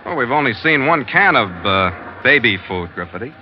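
A hard-boiled, wry sea captain acted by a man from an old radio drama. His talk is slangy and clipped, with pitch that swings widely. The recording is dull and lo-fi. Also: very animated, a little fast, close to an everyday voice. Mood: wary, dry.

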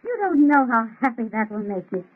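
An actress playing a sweet, devoted young fiancée, earnest and gushing, in an old radio melodrama. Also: female voice, on the high side, big pitch swings, brisk, breathy edge. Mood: adoring, happy. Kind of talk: 1930s radio melodrama acting. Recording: thin, tinny old radio recording.

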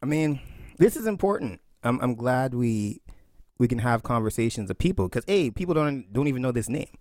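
A man from Barbados, a historian and author, answering interview questions in an emphatic, animated way with quoted asides. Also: mid-range voice, a little fast, dry recording, clean studio sound.

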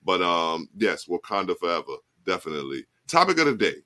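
A man's voice: low-pitched, sing-song, a little fast, sharp tone. A man in his forties hosting a casual chat show, talking loosely and often checking in with "you know what I mean". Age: 40s. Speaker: podcast host.

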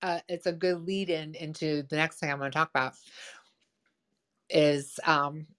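Loud, enthusiastic lower-voiced woman. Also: fairly even intonation, normal speed. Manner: lots of "like" and "right?". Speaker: host. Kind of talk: casual advice chat.

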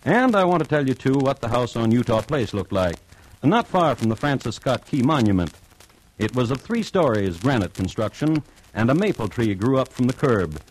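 Wry, deliberate host for old-time radio crime tales; mid-range voice with animated inflection. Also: male voice, mid-range voice, rough recording. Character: droll, urbane male crime-story host. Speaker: host and narrator.

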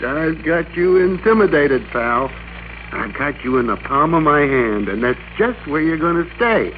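An actor playing a meek middle-aged American office worker turned blustering, bossy master, in emphatic classic radio drama style. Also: male voice, on the high side, lively intonation, unhurried. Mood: gloating, threatening. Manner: emphatic, theatrical, commanding. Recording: muffled classic radio recording with hum.

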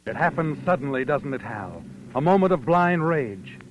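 An actor playing a knowing, insinuating narrator who taunts like a conscience, in dramatic 1940s radio suspense style. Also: male voice, on the high side, lively intonation, normal speed, heavy background noise, close to an everyday voice. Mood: knowing, ominous. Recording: dull old radio broadcast with music.

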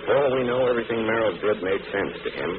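A man plays a calm crime-fighter from 1940s radio. His voice is deep, his delivery measured and reflective with a wry edge. The sound is boxy and narrow, with clear noise. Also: lightly acted. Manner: measured, matter-of-fact, wry. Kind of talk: 1940s radio drama acting. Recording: noisy, narrow-band vintage radio recording.

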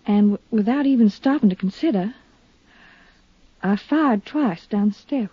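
A young American woman, earnest and shaken but brave, with a husky medium-pitched voice. She sounds like fifties radio drama, close and dry on a dull old recording. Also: animated, normal speed, no echo. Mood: frightened, distraught. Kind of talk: 1950s radio drama acting.

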